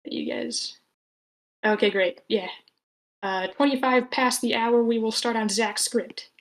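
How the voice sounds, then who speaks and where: A woman's voice: a little high, a little slow. A woman hosting an online script meeting, talking informally with a wry, rambling manner and sometimes reading out written comments. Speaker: host moderating an online script table read. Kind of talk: casual meeting moderation, reading out chat comments. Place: online live meeting room.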